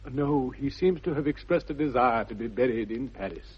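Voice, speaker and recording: English gentleman, very husky, formal, polite, measured, lo-fi 1960s radio comedy. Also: mid-range voice. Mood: mock mournful. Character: well-bred young English gentleman feigning mournful gravity. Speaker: actor in a radio play. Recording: dull lo-fi vintage radio recording.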